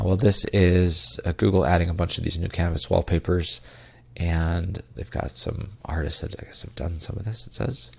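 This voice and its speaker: Low, gravelly congested man. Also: darkish tone. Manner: many ums and uhs, loose rambling asides. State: congested and tired. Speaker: podcast co-host. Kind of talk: casual tech news rundown.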